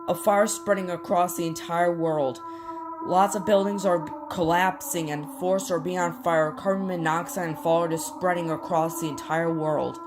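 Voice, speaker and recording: A woman reading a scripted emergency broadcast as an announcer, in formal, solemn, measured phrases. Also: fairly low pitch. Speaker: emergency alert announcer and scenario narrator.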